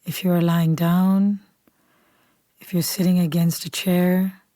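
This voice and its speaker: A woman leading a guided meditation in a calm, unhurried, soothing way, softly and at times almost whispering. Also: lowish voice, no echo, studio quality. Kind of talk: guided meditation, slow scripted instruction.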